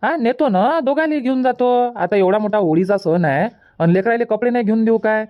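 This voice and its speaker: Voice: mid-range voice, a little fast, lightly acted. Cartoon village dad, acted out: reassuring, placating husband, Varhadi Marathi accent, dull lo-fi sound. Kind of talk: cartoon comedy dialogue. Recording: dull, muffled lo-fi audio.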